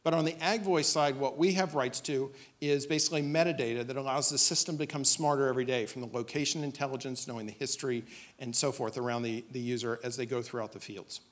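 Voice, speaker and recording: Male presenter, medium pitch, delivering a startup pitch from an auditorium stage. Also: steady tone, fast talker.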